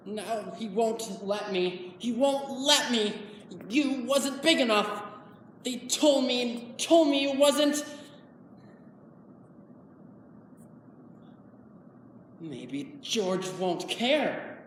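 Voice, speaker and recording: A woman's voice: mid-range voice, lively intonation, very slow. A woman playing a simple-minded, anxious farmhand man on stage, with plaintive rustic speech. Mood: distressed, angry. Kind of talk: dramatic stage monologue. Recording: echoey hall, far from mic, noisy.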